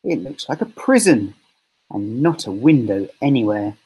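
Male storybook reader, expressive and deliberate, animated pitch, slightly raspy. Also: highs cut off. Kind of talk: children's story reading.

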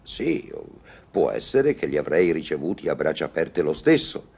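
An actor playing an awkward, earnest gentleman newly in love, speaking theatrically in a vintage Italian radio comedy. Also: rumbling low, very animated, normal speed, creaky, husky, heavily acted. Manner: theatrical, hesitant declarations.

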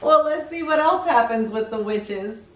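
Female children's host, middle-aged: high voice, unhurried pace, sing-song storytime delivery. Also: reverberant. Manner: animated, sing-song, talks directly to young children. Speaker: children's read-aloud show host. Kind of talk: children's storytime presenting and picture-book reading.

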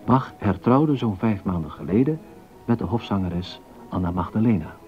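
A man's voice: on the low side, expressive, moderate pace, lo-fi sound, faint music behind, lightly acted. A man narrating a historical docudrama in a scripted style, as its storyteller. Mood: measured narration.

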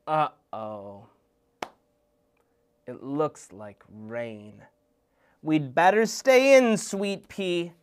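A gentle, steady mother who guides her daughter with reassuring words, as a woman puts her on. She speaks slowly, and her tone rises and falls in a lively storybook way. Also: low voice, heavily acted. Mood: cautious. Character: calm, caring mother on an expedition.

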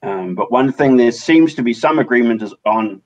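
A man's voice: mid-range voice, animated, normal speed, highs cut off. A man on a video call who speaks hesitantly, restarting his sentences. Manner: hesitant, many fillers and restarts. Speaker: community organizer. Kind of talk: casual video call discussion.

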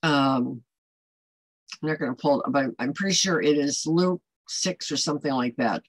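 An older American woman speaks in a fairly low voice with very little room sound. She teaches scripture informally as a Bible study host. Her delivery is conversational and emphatic. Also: moderate pace, no room sound. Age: older, 60s or above. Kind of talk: informal devotional teaching to camera. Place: small home office, indoors.

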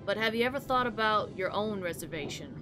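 A woman reading as a pensive, philosophical taxi driver narrating a visual novel in a measured, solemn way. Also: medium pitch, lively intonation, over music, natural voice. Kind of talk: visual novel read-aloud, scripted narration.

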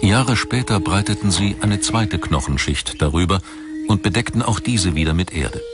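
A man narrating a scripted documentary in a measured, articulate storytelling manner. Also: deep voice. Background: background music.

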